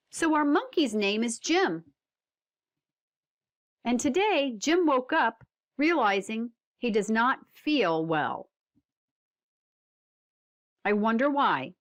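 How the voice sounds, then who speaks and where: Animated woman reading aloud to children. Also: on the high side. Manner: deliberate, expressive teacher delivery with prompting questions. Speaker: read-aloud instructor. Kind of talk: instructional narration and picture book read-aloud for children.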